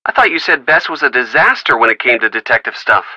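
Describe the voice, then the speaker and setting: A wry young guy friend in a video game, easygoing and teasing with a lively, swinging delivery. The tone is very bright and forward, recorded dry in a close studio. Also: medium pitch, fast, no room sound, muffled recording, full character voice. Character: young male friend, wisecracking and supportive. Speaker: phone friend of the player character.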